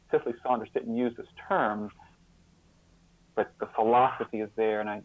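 Man explaining haltingly on a narrow-band line. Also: lowish voice, no room sound. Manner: halting, repeats words, stresses key words. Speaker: interview guest, author and sociologist. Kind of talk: explanatory interview talk.

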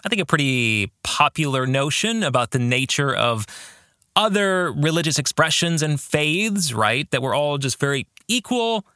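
Male podcast host, dry close sound, lively swooping intonation, reflective book commentary, measured emphatic phrasing. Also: on the high side, dry recording.

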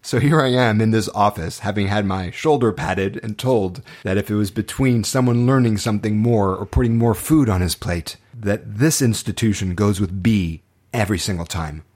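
A man's voice: on the low side, moderate pace, darkish tone. A man speaking as an acting teacher, telling personal stories to motivate students in an earnest, impassioned way with dramatic, emphatic phrasing.

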